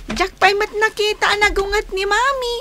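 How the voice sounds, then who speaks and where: Very high-voiced, sweet little girl. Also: steady tone. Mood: giggly, affectionate. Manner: sweet, slow, childlike. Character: affectionate, obedient little daughter on her birthday. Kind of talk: Ilocano radio drama acting.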